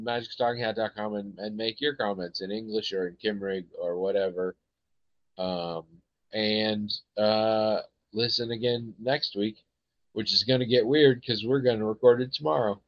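Casting note: American male podcast host, lowish voice, meandering and full of ums, dull dry lo-fi sound. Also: a little slow, dry recording. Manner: rambling, hesitant, many ums and restarts. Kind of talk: casual chat.

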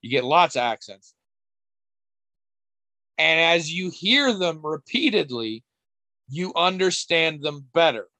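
A man's voice: a little high, lively intonation, takes it slowly, pauses often, pushed and bright, dry recording, low-quality recording. Middle-aged male co-host, candid and rambling, casual swearing, dry close recording. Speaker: podcast co-host. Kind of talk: casual chat.

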